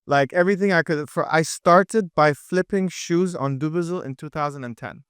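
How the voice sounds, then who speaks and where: A lively young man from with a crisp voice. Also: a little high, no room sound, clean studio sound. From Dubai. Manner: energetic, quick, with many fillers and restarts. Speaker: podcast guest, entrepreneur. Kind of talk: casual interview chat.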